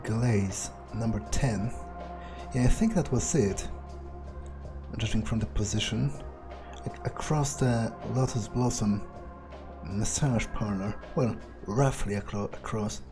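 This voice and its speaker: A man playing a video game in character, thinking aloud in a musing, deliberate way with pauses. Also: fairly low pitch, music in the background. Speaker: let's player.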